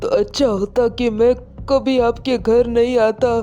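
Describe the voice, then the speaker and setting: A woman acts out a bitter, accusing parent in an audio drama. The voice is high but mellow, with faint music behind. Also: steady tone, a little slow, highs cut off, lightly acted. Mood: grieving.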